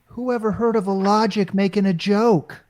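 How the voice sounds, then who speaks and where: A woman's voice: medium pitch, fairly even intonation, moderate pace, darkish tone, lightly acted. A woman playing a wisecracking, easily alarmed male office worker in an old-time radio comedy, colloquial and urgent.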